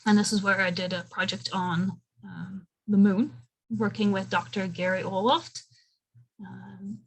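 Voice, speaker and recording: A woman in her thirties gives a relaxed career talk over a video call. She is friendly and chatty, and she often pauses on an um. Her pitch sits in the middle for a woman. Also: gravelly, breathy edge, rough recording. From Belgium. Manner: friendly, conversational, frequent ums. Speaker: invited speaker.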